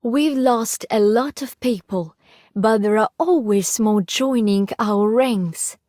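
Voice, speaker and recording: A woman voicing a calm, sincere, reflective veteran in a video game. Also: on the high side, slow pace, dry recording. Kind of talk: video game character voice acting.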